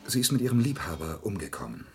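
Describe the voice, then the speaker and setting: Nervous antique dealer, very low voice, swooping intonation, stammering, bitter, radio crime drama. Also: male voice, big pitch swings, quick pace, husky, full character voice. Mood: agitated, confiding. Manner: agitated, stammering, theatrical, swinging between murmurs and outbursts. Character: anxious, bitter, lonely male antique dealer, confessing.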